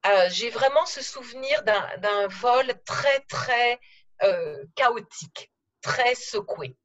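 A middle-aged woman recalling her travels in a slow, careful storytelling manner over a video call from her living room. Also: a little high, lively intonation, a bit bright, muffled recording. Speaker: interview guest. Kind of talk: conversational podcast interview.

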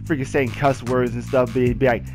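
A young American man casually telling personal stories in a slangy, repetitive, animated way. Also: mid-range voice, normal speed. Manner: slangy, repetitive, animated retelling with acted quotes. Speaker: gaming video storyteller. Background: background music with guitar.

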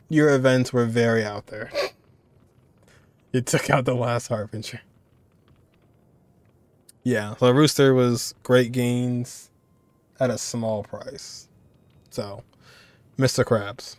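A young man talks casually as a streamer reacting to a game. His pitch is mid-range and the sound is dry. He muses in halting phrases that often trail off. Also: dry recording. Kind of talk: casual off-the-cuff commentary.

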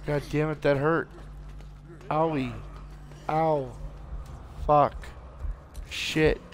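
A tired, hoarse man giving casual let's play commentary, swearing and yelping at the game. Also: fairly high pitch, little pitch movement, drawn-out words, slight background noise. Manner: swears a lot, yelps at the game.

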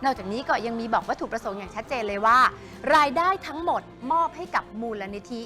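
A woman's voice: a little high, lively intonation, quick pace, bright, forceful tone, soft background music. A woman reading scripted promotional narration as an entertainment news presenter.

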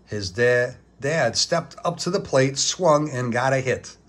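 A man reading a children's book aloud in an expressive, deliberate storytelling style. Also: medium pitch.

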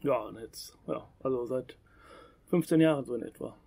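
Husky gravelly man, dry close sound. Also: medium pitch, normal speed, warm tone, no echo. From Ruhr area, Germany. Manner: easygoing, brief replies. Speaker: podcast host. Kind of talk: casual conversation.

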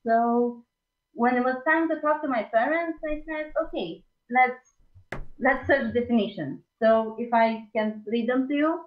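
Hesitant caller on a tinny phone line. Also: female voice, fairly high pitch, measured pace. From Romania. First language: Romanian. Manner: hesitant, nervous laughs, many fillers.

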